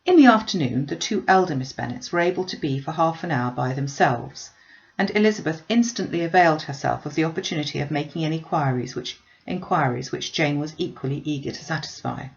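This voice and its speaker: A woman's voice: low voice, lively intonation, a little fast, gravelly, muffled recording. A woman reading a classic novel aloud as an audiobook, expressively acting out the dialogue. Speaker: audiobook reader.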